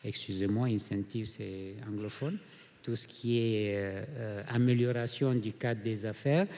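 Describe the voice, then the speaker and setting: A man from Mali speaking on a conference panel as a hotel group founder, measured with frequent hesitations. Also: on the low side, steady tone, mellow. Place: conference hall. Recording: reverberant conference hall.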